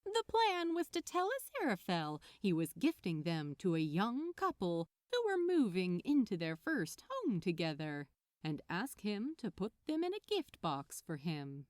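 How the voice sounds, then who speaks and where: A woman's voice: medium pitch, wildly swooping pitch, a little slow, a little mellow, no echo, studio quality. A woman reading light romantic fiction aloud as a scripted story narration. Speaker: podfic narrator.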